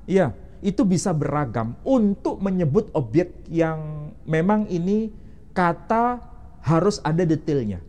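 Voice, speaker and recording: A man's voice: high voice, lively intonation, unhurried, a little mellow. A man, an Islamic teacher, explaining religion in an interview and quizzing the interviewer with rhetorical questions.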